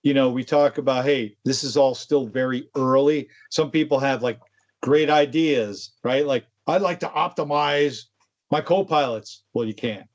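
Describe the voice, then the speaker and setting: A man's voice: mid-range voice, moderate pace, dull audio. A man co-hosting a tech show, explaining in a casual, conversational way with informal asides. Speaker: co-host of a tech discussion show.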